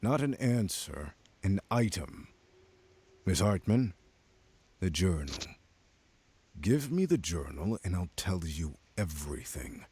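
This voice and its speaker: A smug, menacing male crime boss for a noir video game. The voice is very deep and husky. He talks down to listeners in cryptic, measured phrases with lively swings of pitch. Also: full character voice. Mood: calm bargaining. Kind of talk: scripted video game voice acting.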